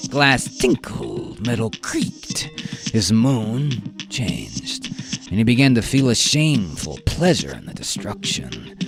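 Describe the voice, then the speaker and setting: A man narrating a science fiction radio drama in a deliberate, theatrical way with dramatic pauses. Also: fairly low pitch. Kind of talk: dramatic scripted story narration. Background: music with guitar.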